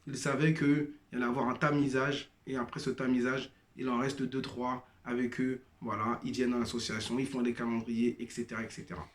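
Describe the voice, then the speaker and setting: Man, personal testimony, hesitant delivery, average pitch, echoey room. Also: little pitch movement, brisk, hardly any pauses. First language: not Arabic-speaking. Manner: hesitant, many fillers and restarts; addresses listeners as brothers and sisters. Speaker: former member sharing testimony.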